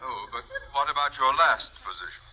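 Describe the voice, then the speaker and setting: An actor playing a pompous, short-tempered male high school principal in old-time radio comedy, with a blustering manner. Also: lively intonation, fairly quick, close to an everyday voice. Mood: appalled. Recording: dull, faintly noisy old radio recording.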